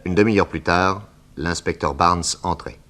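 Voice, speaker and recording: An actor playing a bold, self-assured detective hero who narrates and acts in a 1960s French radio drama. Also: male voice, low voice, normal speed, noisy background, close to an everyday voice. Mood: composed storytelling.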